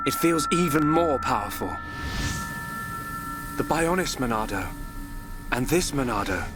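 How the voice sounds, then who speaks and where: A man playing an earnest, troubled, gentle young hero in a video game, with a British accent and a hesitant manner. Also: mid-range voice, lightly acted. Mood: grave, uneasy. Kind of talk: video game cutscene voice acting. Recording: game music behind the voice. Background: music.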